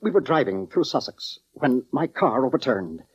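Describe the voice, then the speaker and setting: A man's voice: medium pitch, very animated, moderate pace, husky. An actor playing a weary, courteous male concert musician with formal, theatrical phrasing in a 1940s radio drama. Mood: weary, reflective. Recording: dull, muffled vintage radio recording.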